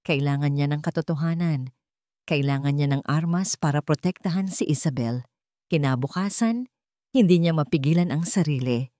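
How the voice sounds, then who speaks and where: A woman with a Filipino accent narrating a scripted romance drama in a measured, emotive storytelling manner. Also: sits low, warm tone, no echo, studio quality.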